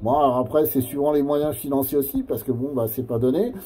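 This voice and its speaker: A man chatting casually as an amateur radio operator, in a hesitant, halting way that often repeats phrases. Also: medium pitch, steady tone, dark tone, breathy edge.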